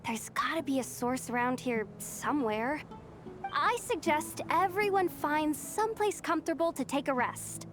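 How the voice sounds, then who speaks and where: Woman voicing cute young assistant, very high voice, earnest, obliging, devoted, video game character, music in background. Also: normal speed, lightly acted. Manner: earnest, eager to please.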